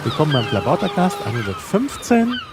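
A man hosting a podcast, introducing his guests and asking questions in a relaxed way. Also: high-pitched, brisk, background noise. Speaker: podcast host. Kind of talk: casual podcast interview.

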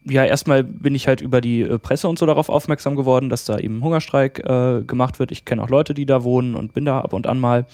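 A man's voice: mid-range voice, fairly even intonation, quick pace, few pauses, darkish tone, no echo. A man from Germany, a political candidate, talking on a podcast with frequent hesitations. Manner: hesitant, many fillers. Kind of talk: casual podcast conversation.

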